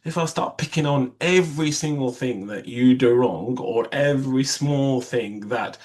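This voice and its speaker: A man's voice: mid-range voice, a little slow, a little mellow. A young man whose first language is Arabic, a surgeon being interviewed, speaking in a reflective way with many restarts and quoted asides. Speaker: vascular surgeon, interview guest.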